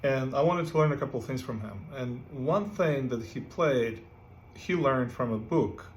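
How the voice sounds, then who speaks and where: A man's voice: mid-range voice, moderate pace, slight background noise. A man from Ukraine, teaching a casual guitar lesson and often checking in with "right?" between filler words. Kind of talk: casual music lesson with playing. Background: guitar playing.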